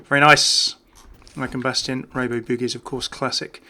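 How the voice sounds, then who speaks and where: Man, casual gameplay commentary, bright forceful tone, frequent vocal fry, chatty, enthusiastic, unscripted. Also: mid-range voice, level tone, a little fast. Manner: chatty, enthusiastic about small details. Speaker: video game commentator.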